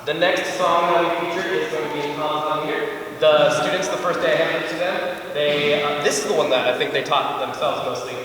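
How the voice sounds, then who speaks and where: A man's voice: fairly high pitch, fairly even intonation, brisk, crowd noise. A male chorus teacher getting over a cold, proudly explaining his students' training to a concert audience. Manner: enthusiastic, proud, demonstrates by singing. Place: school concert hall. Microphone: far from the mic. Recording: echoey hall from far off, faint hiss.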